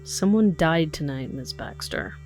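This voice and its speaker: An actress playing a blunt, sarcastic, foul-mouthed police detective in an audio drama. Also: female voice, low-pitched, animated, a little slow, a little mellow. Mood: sardonic. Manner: sardonic, blunt, confrontational. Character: hard-bitten, sarcastic, profane woman police detective. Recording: faint music behind the voice.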